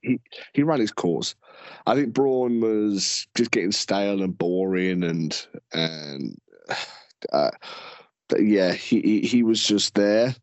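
Young guy co-host, lowish voice, lots of uhs and repeats, dry home mic. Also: takes it slowly, dry recording. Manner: relaxed, hesitant, many fillers and repeats. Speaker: podcast co-host. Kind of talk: casual chat. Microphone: home mic without headphones.

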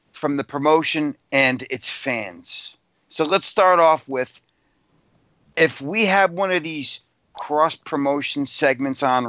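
A man's voice: mid-range voice, fairly bright tone, no echo. A man co-hosting a podcast, giving blunt, opinionated takes with casual swearing. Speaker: podcast co-host. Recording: dull lo-fi podcast audio.

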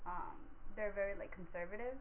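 Female podcast host, middle pitch, relaxed chatter and easy laughs, tinny lo-fi sound. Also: a little fast. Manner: chatty, giggly, lots of likes. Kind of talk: casual podcast interview. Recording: tinny phone-like audio.

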